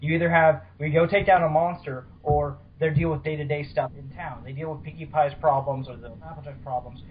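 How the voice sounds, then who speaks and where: A man with a slightly high voice hosts a casual podcast. He is talkative and explains things informally. The recording is dull and lo-fi. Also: steady tone, fairly quick, a little hiss or hum. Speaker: podcast host.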